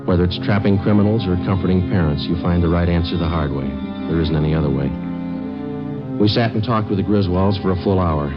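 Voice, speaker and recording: A low-voiced, stoic police sergeant played by a male actor from a 1950s radio drama. He is clipped and deadpan, terse and steady. The old recording is dull, with prominent music. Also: fairly quick, natural voice. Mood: grave narration. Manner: deadpan, clipped, matter-of-fact. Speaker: lead actor and narrator.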